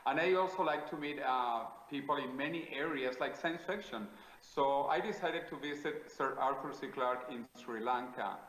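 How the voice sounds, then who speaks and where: A man with a Spanish accent, speaking English as a second language, answers interview questions in a measured, declarative way with plenty of small pauses. His voice sits at a middle pitch and carries a noticeable roomy echo, as if recorded over a call in a bare room. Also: fairly bright tone, slight background noise. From South America. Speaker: guest, futurist.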